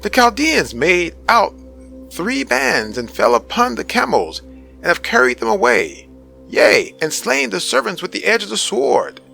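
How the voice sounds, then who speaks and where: A man puts on an alarmed male servant delivering dire news in a dramatic scripture reading. The voice jumps well up in pitch and sounds strained and urgent, over faint music. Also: huge pitch swings, unhurried, vocal fry, bright, forceful tone, full character voice, poor audio.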